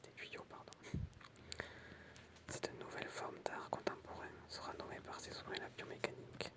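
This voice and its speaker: ASMR reader, full breathy whisper, unvoiced, soft explanatory delivery, casual asides. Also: moderate pace. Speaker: ASMR creator reading film trivia with commentary.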